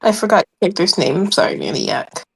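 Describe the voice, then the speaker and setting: A woman's voice: on the low side, wildly swooping pitch, brisk, creaky, slightly rough, low-quality recording. A woman chatting casually as a player in a tabletop game.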